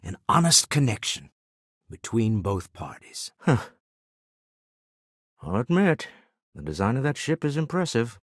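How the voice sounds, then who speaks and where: An actor playing a shrewd, wealthy older businessman in a video game, proud and wry, with a husky voice and a dry, articulate, refined delivery. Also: deep voice, no room sound, heavily acted. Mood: businesslike. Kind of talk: video game character dialogue.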